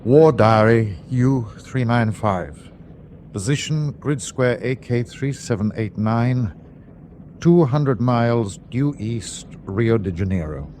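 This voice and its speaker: Stern submarine captain, middle pitch, clipped orders, prickly, sardonic, ruthless, radio war drama, noisy backdrop. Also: male voice, expressive, slow pace, darkish tone. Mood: grave, formal. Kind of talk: old-time radio war drama acting.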